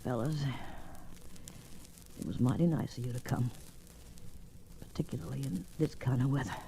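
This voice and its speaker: A tough old saloon woman of the Old West, low-pitched and folksy. She talks in plain, ungrammatical frontier slang with a worldly weariness. It is an old radio western with faint background noise. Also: moderate pace, gravelly, dark tone, poor audio. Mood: weak, weary. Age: older. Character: tough, weary old female saloon keeper and gambler.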